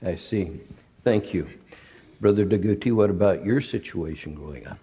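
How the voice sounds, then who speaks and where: This is a man with a husky, slightly low voice, moderating a formal panel interview. He keeps his prompts brief and polite. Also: moderate pace, dark tone, in a large hall, muffled recording.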